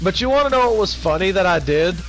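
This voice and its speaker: A man's voice: sits high, animated, quick pace, a bit bright, faint music behind. A man chatting casually on a game livestream, eagerly telling stories and teasing them with questions. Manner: eager storyteller, keeps asking "you wanna know". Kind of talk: casual chat.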